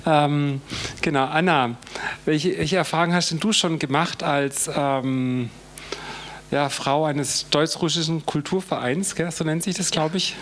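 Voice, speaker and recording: A man leading a panel discussion in a fairly high voice. He talks haltingly and repeats words when he gets stuck. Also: steady tone, fairly bright tone, slight background noise. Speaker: panel moderator.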